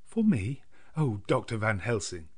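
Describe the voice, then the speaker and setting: A man as a high, girlish young lady. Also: sing-song, unhurried, breathy edge, full character voice. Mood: pleased surprise. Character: sweet, playful young woman, an invalid.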